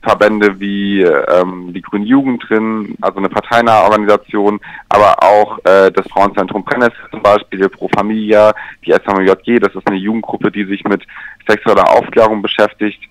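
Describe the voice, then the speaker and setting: A man explaining things in a measured, structured way as a guest in a radio telephone interview. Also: on the low side, little pitch movement, no room sound, low-quality recording. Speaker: interview guest, LGBT pride event organizer. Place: remote, by telephone.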